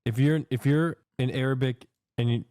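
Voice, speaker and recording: A man reacting live on stream, stopping and restarting as he asks questions and speaks to his chat. His voice is mid-pitched. The sound is dry and close. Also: steady tone, warm tone, no echo. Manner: halting, stumbling questions, addresses chat. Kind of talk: casual livestream reaction.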